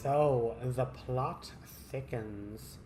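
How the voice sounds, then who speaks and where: Low voiced lady doing a chatty game walkthrough, talks right to viewers, faint music behind. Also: very slow, warm tone. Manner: chatty, informal, addresses viewers directly. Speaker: gaming video host. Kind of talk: casual game walkthrough commentary. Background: video game music.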